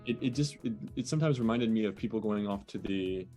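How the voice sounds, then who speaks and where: A man's voice: on the low side, fast, warm tone, soft background music. A young man talking casually as an interview guest over a video call, hesitant with many fillers and restarts. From born in the US, raised in France. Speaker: guest, digital nomad.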